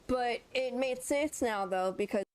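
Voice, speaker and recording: A woman's voice: on the high side, unhurried. A woman giving casual live reaction commentary as host of a gaming video. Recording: noisy, game audio underneath.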